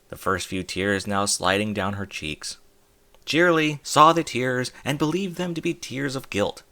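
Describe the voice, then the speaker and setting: A man narrating a fan fiction story as an audiobook, in an expressive storytelling manner. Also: fairly low pitch.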